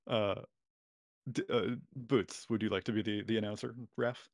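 A man's voice: on the low side, steady tone, normal speed, no echo, clean studio sound. A man acting as game master of a tabletop game, talking with lots of fillers and restarts. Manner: hesitant, many fillers and restarts. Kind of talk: running a tabletop game session.

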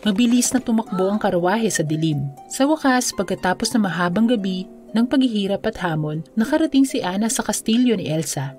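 Mellow woman narrating a fairy tale over music. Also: medium pitch, lively intonation, a little fast. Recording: music under the voice. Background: background music.

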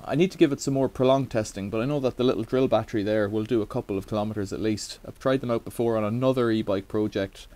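A man's voice: on the low side, fast, hardly any pauses, warm tone. A middle-aged man casually demonstrating a bike repair in a cluttered workshop, rambling with wry asides.

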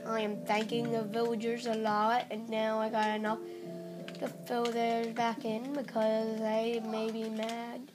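A woman's voice: fairly high pitch, monotone, measured pace. A young female player rambling through casual Minecraft commentary, squealing at small wins, recorded on a phone aimed at a TV. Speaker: Minecraft player filming her screen. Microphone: phone camera. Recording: phone camera aimed at a TV, music in background.

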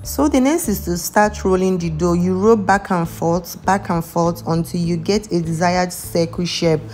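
A woman's voice: on the low side, normal speed, slight background noise. A young Nigerian woman with a Nigerian accent, hosting a home cooking channel and walking viewers through a recipe step by step in a friendly way. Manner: friendly step-by-step instruction with some non-standard phrasing. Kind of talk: voice-over cooking tutorial. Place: home kitchen. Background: soft background music.

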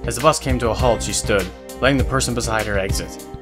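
A man narrating a scripted drama in a measured, suspenseful storytelling manner. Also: mid-range voice, music in the background.

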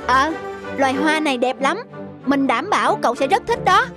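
A woman plays a kind young cartoon boy with a high voice. He sounds earnest and encouraging, with faint music behind him. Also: lively intonation, moderate pace. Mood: friendly, eager. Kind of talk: cartoon dubbing.

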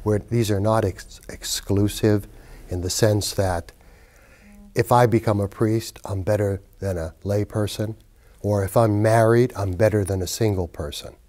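An older bishop gives a documentary interview in a low, mellow voice. He talks in a measured, reflective way, recorded close in an indoor office. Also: steady tone.

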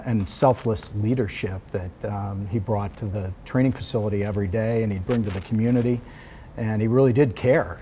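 A middle-aged man recollects in a measured interview style. His voice sits fairly low, with light music behind it. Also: low-quality recording. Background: soft music.

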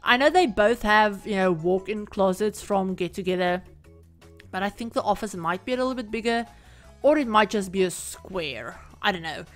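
A woman recording a casual, rambling voiceover for a home-building game video, her voice a little croaky from being sick. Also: medium pitch, moderate pace, faint music behind. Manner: rambling, chatty, many fillers. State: croaky from illness. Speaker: Sims build creator. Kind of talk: casual voiceover over gameplay.

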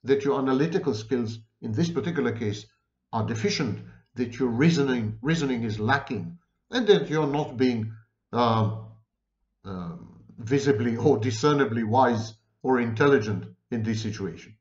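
An emphatic man in his sixties lectures into a desk webcam, slow and measured, piling up synonyms. His room sounds roomy and the audio is dull and muffled. His voice sits at an ordinary middle pitch. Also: animated, darkish tone. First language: Hebrew. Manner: deliberate, emphatic, gesturing, lists many near-synonyms. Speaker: lecturer on psychology. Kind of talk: lecture-style monologue to camera. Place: home room indoors.

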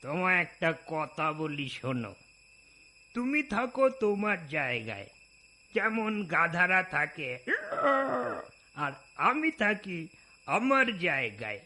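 A man's voice: on the high side, very animated, takes it slowly, pauses often, bright, forceful tone, exaggerated voice. A man voicing a young cartoon guard dog, content and smug, with a nonchalant, teasing manner. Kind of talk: scripted cartoon voice acting.

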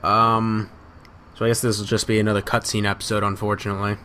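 A man's voice: fairly low pitch, monotone, fast, bright, forceful tone, a little hiss or hum. A man giving casual gameplay commentary, muttering to himself, repeating phrases and swearing. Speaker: gamer recording a let's play.

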